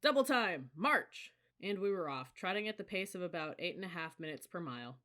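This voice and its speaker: Bright-voiced audiobook story reader. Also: mid-range voice, quick pace. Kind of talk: scripted first-person story narration.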